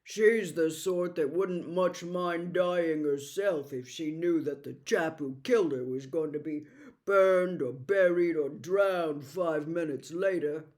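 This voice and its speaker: A woman's voice: sits low, slow, a little mellow, voice pushed down. A woman voicing a gloomy, pessimistic male marsh creature in an audiobook, dour and plodding in manner. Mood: grim, explaining. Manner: dour, plodding, predicts the worst. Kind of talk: audiobook character voice.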